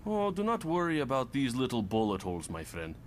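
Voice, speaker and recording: A good-natured young Russian hero, played by a man, with a mid-range voice that rises and falls a great deal. His wording is formal and friendly, as in an animated comic. Faint rumbling noise sits behind him. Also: brisk. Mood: easygoing. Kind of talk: motion comic voice acting.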